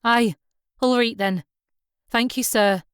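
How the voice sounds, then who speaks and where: A woman's voice: a little high, steady tone, slow, smooth, dry recording, clean studio sound. A woman voicing a shy young island fisher lad, deferential and hesitant, eager for work, in an audiobook. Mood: deferential, pleased. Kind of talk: audiobook character dialogue.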